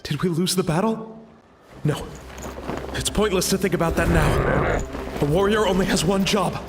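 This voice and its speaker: A man's voice: high-pitched, moderate pace, music in the background. A man voicing a delirious young warrior in a video game, desperate and intense, declaiming his lines. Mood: grim, haunted.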